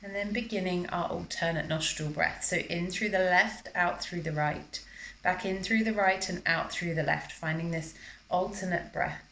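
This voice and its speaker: Female yoga instructor, slightly low voice, calm measured guidance for breathwork, recorded live in a reverberant space. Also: creaky. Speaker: yoga teacher. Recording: roomy, echoing live class recording.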